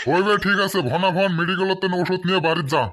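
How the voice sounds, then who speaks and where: A man's voice: sits high, little pitch movement, fast, a bit bright. A man voicing a suspicious, questioning village policeman in a comic cartoon.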